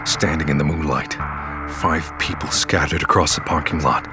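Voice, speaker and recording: An actor playing a haunted, sleepless survivor who narrates his story gravely and deliberately in an audio drama. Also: extremely low pitch, steady tone. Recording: loud music under the voice.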